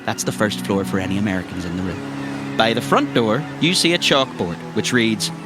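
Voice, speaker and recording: A man's voice: on the low side, sing-song, moderate pace. A man with an Irish accent narrating a tabletop roleplaying game as game master, descriptive, with colloquial asides. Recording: music behind the voice.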